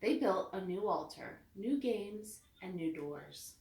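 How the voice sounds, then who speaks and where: A woman's voice: medium pitch, expressive, slow pace, a little mellow. Woman reading aloud in an echoey room. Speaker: children's story reader. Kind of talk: read-aloud storybook narration. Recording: echoey room, distant mic.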